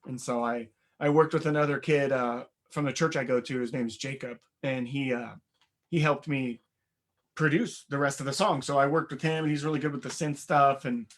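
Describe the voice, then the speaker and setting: Young man, raspy voice, modest and rambling interview guest, mid-range pitch. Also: steady tone, normal speed. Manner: modest, rambling, many fillers and restarts. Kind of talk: casual interview chat.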